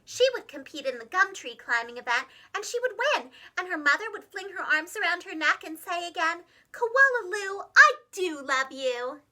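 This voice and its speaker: A woman reading a children's storybook aloud like a preschool teacher, expressive and dramatic, speaking carefully for young listeners. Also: extremely high pitch, fairly quick, few pauses. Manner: animated, dramatic, gentle enunciation for young children.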